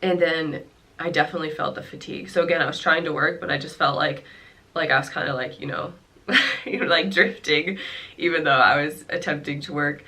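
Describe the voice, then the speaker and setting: A woman's voice: low-pitched, a little fast, sharp tone. A young woman with a congested, nasal voice, casually chatting to the camera at home about how she has been feeling, rambling with fillers and little laughs. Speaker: vlogger. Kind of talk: casual talk to camera about her illness. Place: her home.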